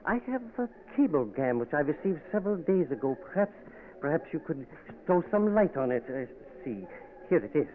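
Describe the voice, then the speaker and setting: Polite professor, lively voice, tinny old radio sound. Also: male voice, on the high side, brisk. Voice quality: polite, hesitant, courteous. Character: polite curious male college professor. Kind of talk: 1940s radio acting.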